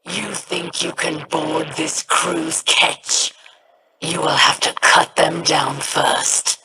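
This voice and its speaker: A man's voice: a little high, fairly even intonation, vocal fry. A man voicing a defiant, threatening alien pirate villain in a video game. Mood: menacing. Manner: threatening, deliberate. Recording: loud background noise.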